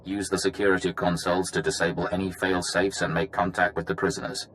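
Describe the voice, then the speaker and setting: Deep, forceful briefing operative. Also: male voice, flat delivery, slight background noise, poor audio. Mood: matter-of-fact. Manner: brisk, matter-of-fact. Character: businesslike male infiltration operative giving recorded briefing. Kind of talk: video game briefing narration.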